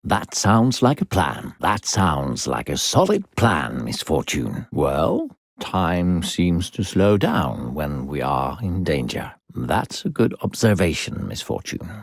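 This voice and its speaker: Deep, gravelly, sinister game narrator. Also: male voice, wildly swooping pitch, takes it slowly, no room sound, studio quality, heavily acted. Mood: patronizing, persuasive. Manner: theatrical, condescending, coaxing. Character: smooth-talking, secretly sinister narrator guiding a little girl. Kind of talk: theatrical video game narration.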